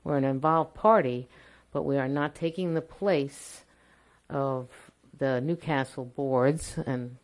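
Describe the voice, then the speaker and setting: Very low-voiced woman chairing a town meeting. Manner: halting, repeats words while explaining. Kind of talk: public meeting remarks. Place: town hall meeting room.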